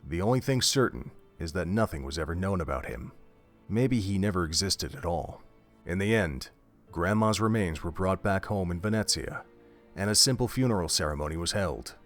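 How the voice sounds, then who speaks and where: A man's voice: deep voice, lively intonation, normal speed, soft background music. A man reading scripted narration for an audio drama, telling the story in prose.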